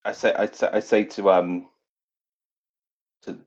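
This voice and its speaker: Low-voiced man, slightly muffled recording. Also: little pitch movement, unhurried, a little mellow. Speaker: tabletop role-playing player. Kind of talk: casual improvised role-play chat.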